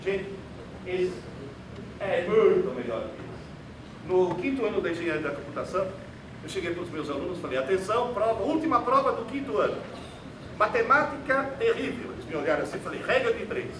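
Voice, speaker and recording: A high-voiced man lectures an auditorium audience in a theatrical, sarcastic, emphatic way, and Portuguese is not his first language. He is picked up from far off in a roomy hall. The recording carries clear background noise. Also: lively intonation, a little fast. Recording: echoey hall, distant mic, noisy.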